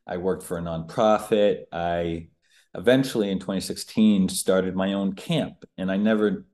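A man's voice: sits low, steady tone, a little slow, a little mellow. A man in his thirties from the Northeastern United States, a public speaker talking candidly as a guest about his life in a casual interview. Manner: candid, conversational, with fillers.

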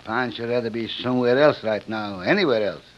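An actor playing a simple, timid, food-loving Mexican sidekick in old radio drama, accented and speaking broken English. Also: male voice, mid-range voice, brisk, natural voice. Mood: frightened. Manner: broken English, speaks of himself in third person. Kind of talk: 1940s-50s radio drama acting. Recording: dull old radio recording.

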